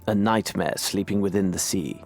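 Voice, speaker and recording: An actor playing a grave, commanding gentleman detective in a video game, speaking with measured, intent authority. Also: low-pitched.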